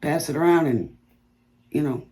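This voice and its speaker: A woman's voice: deep voice, animated, moderate pace, dark tone. A woman tarot reader chatting casually to her viewers before a reading, rambling and stuttering at times and laughing at her own puns.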